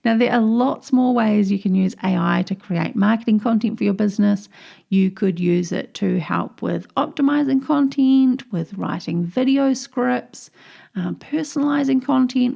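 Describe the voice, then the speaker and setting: A woman's voice: medium pitch, creaky, mellow. A woman with a New Zealand accent hosting her own podcast, explaining tips in an upbeat, chatty way. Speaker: podcast host. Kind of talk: solo podcast tips episode.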